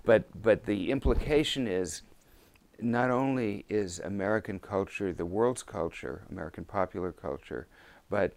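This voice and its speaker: A man's voice: lowish voice, moderate pace, vocal fry, a little mellow, slight background noise. A man interviewing in a conversational way, with a wry, teasing manner.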